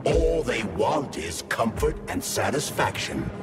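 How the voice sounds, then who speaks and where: An actor playing an arrogant villain scientist who scorns humanity, lecturing with contempt in a game cutscene. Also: male voice, mid-range voice, sing-song, over loud music. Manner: contemptuous, lecturing, swings from slow menace to shouting. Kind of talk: video game cutscene acting. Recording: loud orchestral score under voice.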